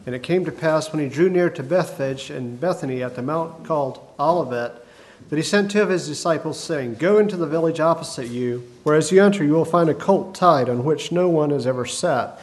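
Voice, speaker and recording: A man preaching a sermon, hoarse from a cold, talking in a folksy, halting way with many fillers. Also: on the high side.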